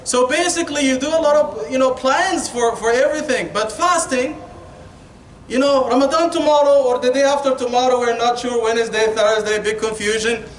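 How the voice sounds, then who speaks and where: High-pitched Egyptian preacher in an echoey room. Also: male voice, moderate pace. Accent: Egyptian Arabic. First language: Arabic. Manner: informal, colloquial, rhetorical questions, frequent "you know". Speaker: Islamic preacher giving a Ramadan talk. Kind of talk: conversational sermon with humour. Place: indoor Islamic centre hall. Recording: echoey room, distant mic, faint noise.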